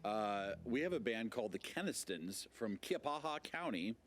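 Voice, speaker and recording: Lively-voiced man hosting a TV show. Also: on the low side. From Nebraska. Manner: lively, jokey, quick to laugh. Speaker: TV show host. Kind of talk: casual on-air chat and membership pitch.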